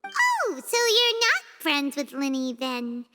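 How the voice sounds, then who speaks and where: A woman's voice: sits high, huge pitch swings, takes it slowly, sharp tone, soft background music, full character voice. A woman voicing a tiny, chatty female companion who talks about herself in the third person and stammers when flustered. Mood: curious. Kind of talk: video game cutscene dub. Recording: long reverb.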